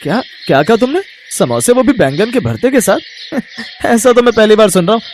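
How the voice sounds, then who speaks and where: An actor playing an amused, sceptical market customer with an incredulous, mocking manner in a story. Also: male voice, high voice, expressive, brisk, a little hiss or hum. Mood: mocking amusement.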